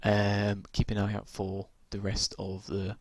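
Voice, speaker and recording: A man casually walking through tabletop game rules, rambling with lots of ums and restarts, his voice gone croaky from talking so long. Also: fairly low pitch, monotone, a little slow, no room sound.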